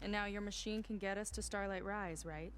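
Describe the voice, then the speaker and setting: An actress playing a proud, guarded young female marine in a video game cutscene, brisk and plain-spoken, with a wry edge. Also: medium pitch, fairly even intonation, a little hiss or hum, lightly acted. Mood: earnest, upbeat. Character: proud, guarded young woman marine scout seeking her sister.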